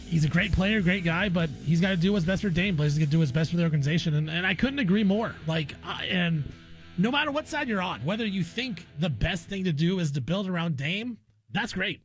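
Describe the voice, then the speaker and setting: A man's voice: sits high, expressive, fairly quick, soft background music. A man hosting a sports radio show, giving his opinions in casual conversational talk.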